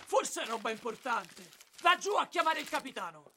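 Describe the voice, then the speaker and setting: High, swooping woman as a crude looting soldier. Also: sing-song, normal speed. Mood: bossy, curious. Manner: coarse, colloquial. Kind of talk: radio drama acting. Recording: clearly noisy sound bed with clicks.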